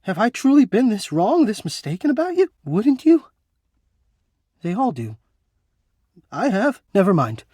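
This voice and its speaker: Anxious romantic recluse, video game character, florid theatrical phrasing, animated pitch, close dry recording. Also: male voice, breathy edge, no echo, heavily acted. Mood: resigned, halting. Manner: florid, halting, theatrical speech. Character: anxious, melodramatic, yearning man hiding in an attic.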